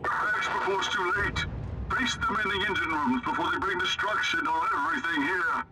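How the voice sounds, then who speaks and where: An actor playing a fervent priest warning of doom in a video game, dramatic and portentous. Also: male voice, a little fast, close to an everyday voice. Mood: urgent, fervent. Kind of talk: scripted game dialogue. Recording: very loud game music and rumble behind.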